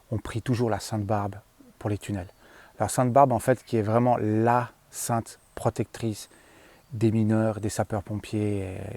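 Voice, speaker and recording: Mellow low-voiced man rambling casually. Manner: hesitant, colloquial, frequent trailing tags. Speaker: vlogger, former tunnel worker. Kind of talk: casual rambling storytelling to camera. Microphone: handheld at arm's length.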